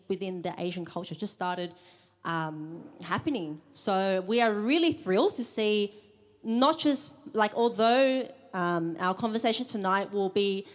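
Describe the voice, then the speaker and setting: A young woman hosting a live event, chatty and a bit nervous, with lots of ums. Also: medium pitch. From Australia. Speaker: event host. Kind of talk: live event welcome speech. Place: event room with audience.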